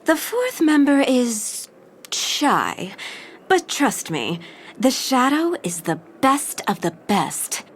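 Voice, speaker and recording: Cunning femme fatale gang boss. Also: female voice, high-pitched, big pitch swings, slow, heavily acted. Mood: confident, persuasive. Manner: smooth, confident, persuasive. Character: cunning, seductive femme fatale crew leader. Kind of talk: scripted audio drama acting.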